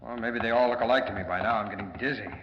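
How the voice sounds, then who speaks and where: An actor playing a serious, practical crime-fighter in an old-time radio drama, speaking earnestly in clipped questions and remarks. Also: male voice, medium pitch, steady tone, very fast, reverberant, in a large hall, natural voice. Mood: exasperated. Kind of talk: 1940s radio acting. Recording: dull, noisy vintage radio recording.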